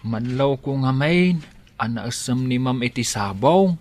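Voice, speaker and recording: A man's voice: mid-range voice, animated, a little slow, darkish tone, natural voice. A man with an Ilocano accent playing a shy, devoted and humble servant in a radio drama. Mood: bashful, evasive.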